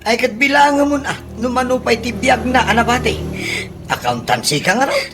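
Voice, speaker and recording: A man's voice: very high voice, lively intonation, normal speed, music in the background. A man with a Filipino accent playing a joking, excuse-making young man in a radio comedy drama, delivering wisecracks. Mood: wisecracking. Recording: noisy background.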